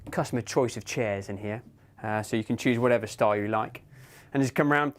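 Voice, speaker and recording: A man guiding a walkthrough of a yacht cabin, relaxed and descriptive, with ums. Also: medium pitch, fast. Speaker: yacht tour guide. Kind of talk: walkthrough tour narration.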